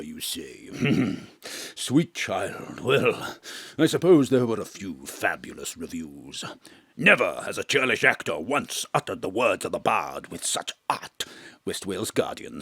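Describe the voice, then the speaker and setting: Husky, pompous old stage thespian. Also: male voice, fairly low pitch, fairly bright tone, no echo, full character voice. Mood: grandiose, self-pitying. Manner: theatrical, grandiloquent declaiming. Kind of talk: comic audio drama acting.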